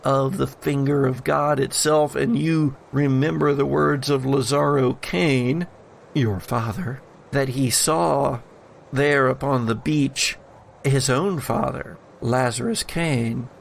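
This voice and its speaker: A man narrating a horror tabletop game as game master, addressing players in a dramatic, deliberate storytelling manner. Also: mid-range voice, fairly even intonation, creaky, slight background noise.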